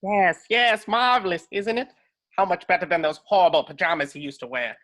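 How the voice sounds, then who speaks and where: A woman's voice: fairly low pitch, a little fast, fairly bright tone, close to an everyday voice. A woman playing an imperious, flamboyant costume designer in a script read-through, grand and insistent. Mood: effusive. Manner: grand, insistent, calls people darling. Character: imperious, flamboyant female super-suit designer. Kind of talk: online table read of a film script.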